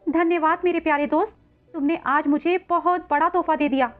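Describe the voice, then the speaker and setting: A woman's voice: extremely high pitch, fairly even intonation, fairly quick, soft background music. A woman voicing a sweet, earnest, emotional elder sister in a children's story, on a narrow phone-like recording. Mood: grateful, earnest.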